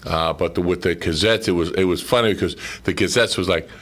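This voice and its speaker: Male talk guest, very deep register, conversational storyteller with frequent asides. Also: a little fast, fairly bright tone. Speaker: guest, amateur radio play actor. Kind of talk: casual anecdotal studio chat.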